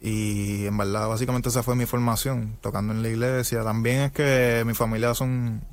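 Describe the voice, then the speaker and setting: A man's voice: mid-range voice, level tone, moderate pace. A young man from Puerto Rico, a drum student giving short, shy answers in an interview. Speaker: music student, drummer.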